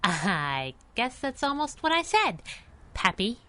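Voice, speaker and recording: An actress playing a spunky, loyal young sidekick with a sassy, slangy, streetwise manner in a 1930s radio adventure style. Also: female voice, a little high, very animated, takes it slowly, pushed and bright, dry recording, full character voice. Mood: sassy, teasing.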